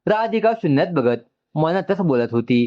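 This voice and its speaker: A man's voice: a little high, very animated, normal speed, lo-fi sound. A man narrating an emotional story from a script.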